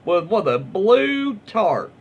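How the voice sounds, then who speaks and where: Southern US male host, higher-pitched, very lively read-aloud, folksy and loose, slightly muffled recording. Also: takes it slowly. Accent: Southern American. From southern United States. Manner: folksy, informal, stumbles over words while reading. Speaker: host reading Reddit stories aloud. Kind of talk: casual read-aloud with off-the-cuff commentary. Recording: muffled lo-fi audio, faint background noise.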